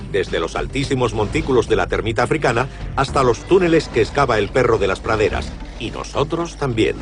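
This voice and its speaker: A man reading scripted documentary narration as the narrator. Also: mid-range voice.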